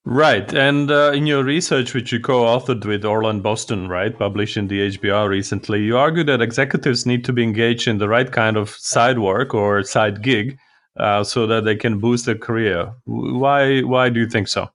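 Podcast host guy, average pitch, chatty questions with a few uhs. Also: moderate pace, fairly bright tone. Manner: scripted intro, then chatty questions with fillers. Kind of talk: podcast intro and interviewing.

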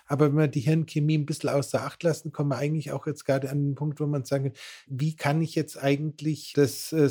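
A man's voice: mid-range voice, dark tone. Rambling man explaining at length. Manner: rambling, long winding sentences with many fillers. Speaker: biohacking expert guest. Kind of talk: casual podcast explanation.

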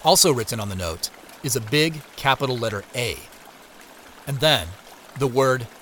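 A man narrating an audio drama as a reflective searcher, measured, descriptive and understated. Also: mid-range voice, expressive, fairly bright tone, slight background noise, natural voice. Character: reflective first-person narrator describing his woodland search.

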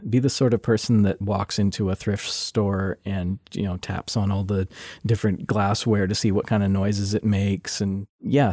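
A man with a low, dark, mellow voice giving casual advice. He is relaxed and hesitant and pads his sentences with fillers. The recording is dry and close. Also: dry recording.

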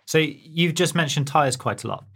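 A man's voice: a little high, animated, moderate pace, vocal fry, fairly bright tone, breathy edge. A man co-hosting a casual technical chat, rambling and self-deprecating, with many fillers and restarts. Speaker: technical editor, co-host.

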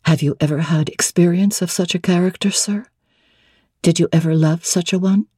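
A woman's voice: on the low side, steady tone, moderate pace, warm tone, breathy edge, dry recording, clean studio sound. A woman playing an earnest, proud young bride-to-be in a classic novel reading, speaking formally and candidly. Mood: questioning.

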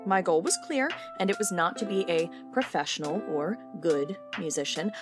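A woman's voice: lowish voice, animated, moderate pace, over music, lightly acted. A woman playing a petty, indignant neighbor in a wry, theatrical yet conversational comic monologue. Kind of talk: comic monologue in audio drama.

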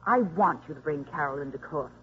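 An actress playing a spiteful, haughty, sarcastic ex-wife in a 1950s radio drama. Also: female voice, sits low, fairly quick, a bit bright, soft background music. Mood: scornful. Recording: dull old radio recording.

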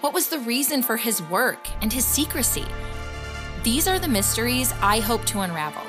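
A woman playing an earnest investigative podcast host, narrating a scripted documentary in a measured storytelling way. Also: fairly high pitch, very animated, music in the background. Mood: intrigued, earnest. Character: earnest female investigative podcast host recounting a hero's cases.

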